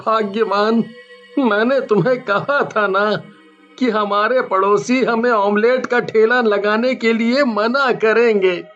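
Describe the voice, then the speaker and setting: A mild husband speaks slowly, softly and cautiously in a bright tone. The audio is a little dull, with faint music underneath. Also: male voice, high-pitched, steady tone. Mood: affectionate. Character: gentle out-of-work husband.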